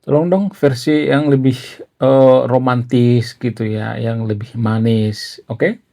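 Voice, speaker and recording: A man's voice: medium pitch, level tone, slow, gravelly, mellow. A man making casual, coaxing requests to a chatbot. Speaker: vlogger talking to a chatbot.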